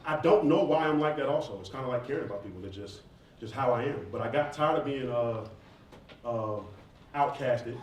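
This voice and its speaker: A man's voice: mid-range voice, fairly quick. Male rapper, thirties, conversational and emphatic audience Q&A, roomy distant sound with faint noise. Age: 30s. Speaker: rapper and stage performer answering audience questions. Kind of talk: informal question-and-answer talk to students. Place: roomy indoor campus event space. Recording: echoey room, distant mic, faint noise.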